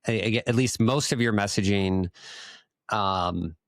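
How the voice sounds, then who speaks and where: A man co-hosting a casual business podcast, thinking aloud in a halting way as he frames questions. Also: fairly low pitch, no room sound, studio quality. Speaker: podcast co-host asking questions.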